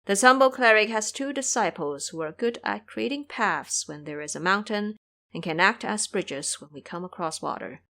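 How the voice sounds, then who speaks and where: A woman's voice: medium pitch, lively intonation, moderate pace, sharp tone. A woman playing a humble, timid Buddhist monk who speaks formally and deferentially in an audio drama. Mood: apologetic.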